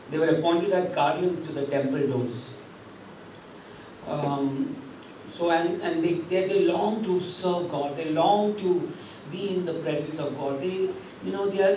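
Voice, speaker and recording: A man's voice: on the high side, moderate pace, a little mellow, a little hiss or hum. A man in his thirties preaching a sermon as pastor into a handheld mic in a church hall, talking conversationally with many fillers and restarts. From India. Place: church hall with drum kit. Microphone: handheld microphone. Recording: very echoey hall, picked up from a distance.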